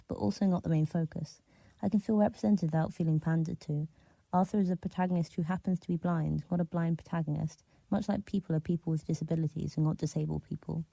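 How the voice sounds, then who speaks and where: A woman's voice: low voice, vocal fry, warm tone, no echo, rough recording. A woman with a soothing voice reading a prepared personal essay aloud as a listener contributor.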